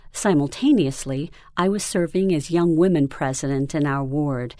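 A woman reading a first-person story aloud in a calm, earnest manner, recorded close to the microphone. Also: sits low, dry recording. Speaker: reader.

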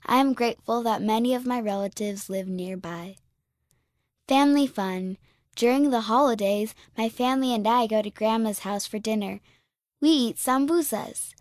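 A woman reading a child's first-person magazine piece aloud in a bright, friendly storybook manner. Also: fairly high pitch, dry recording, studio quality. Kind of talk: scripted narration.

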